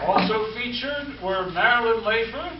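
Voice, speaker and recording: A man's voice: extremely high pitch, moderate pace, lo-fi sound, heavy background noise. A man announcing cast credits from the stage at a live show, in the style of an old radio announcer. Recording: distant mic in echoey hall, loud music, crowd.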